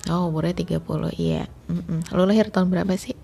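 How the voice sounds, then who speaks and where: A woman's voice: lowish voice, fairly even intonation, a little fast, creaky, dark tone, slightly rough. A woman, telling a story in a rambling, chatty way full of fillers. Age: late 20s. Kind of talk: casual storytelling monologue.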